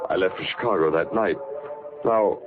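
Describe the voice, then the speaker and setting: An actor playing a level-headed, sceptical confidant with measured, probing delivery in 1940s radio drama. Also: male voice, deep voice, lightly acted. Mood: probing, sober. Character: level-headed, sceptical male confidant questioning a troubled friend. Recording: muffled old radio recording with music.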